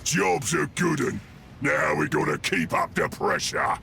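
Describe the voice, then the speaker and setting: A huge, greedy, bullying ork boss from a video game bellows. The voice is heavily gravelled and swoops wildly as it shouts. The words are drawn out with menace. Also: male voice, fairly high pitch, lively intonation, measured pace, music in the background, exaggerated voice. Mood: demanding. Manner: shouting, growling, gruff. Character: loud, belligerent male ork warboss pilot, greedy and bullying. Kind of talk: video game character acting.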